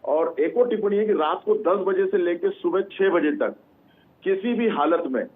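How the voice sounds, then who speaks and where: A man reporting live as a news correspondent, halting, with frequent hesitations and repeated words. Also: high voice. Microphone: phone or remote link. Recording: narrow, phone-like line.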